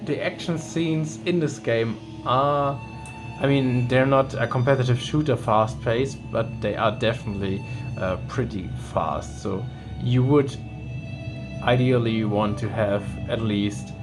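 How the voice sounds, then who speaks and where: A man's voice: medium pitch, unhurried. Man commentating gameplay. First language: German. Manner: relaxed, with frequent restarts. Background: game music.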